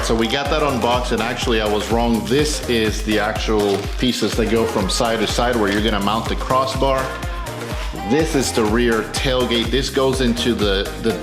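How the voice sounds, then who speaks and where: A man hosting a casual, unscripted how-to video, explaining gear in a conversational way as he unboxes it. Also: medium pitch. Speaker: video host demonstrating car accessory installation. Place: tight indoor space, roomy sound. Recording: roomy echo, music underneath.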